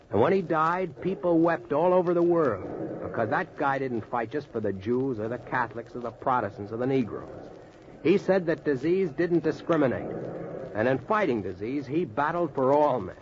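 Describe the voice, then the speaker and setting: An actor playing a plain-spoken, heartfelt American storyteller in 1940s radio-drama style, with a folksy, emphatic manner. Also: male voice, medium pitch, lightly acted. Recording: noisy, dull 1940s radio recording.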